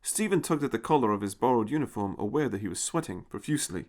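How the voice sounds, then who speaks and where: A man's voice: medium pitch, lively intonation, fast, noisy background. A man narrating a scripted audio story.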